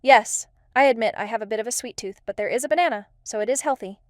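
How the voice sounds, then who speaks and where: A woman's voice: on the high side, fast talker, dry recording, clean studio sound, close to an everyday voice. A woman playing a confident, cheeky spy in an audio drama, matter-of-fact and playful. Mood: playful, brisk.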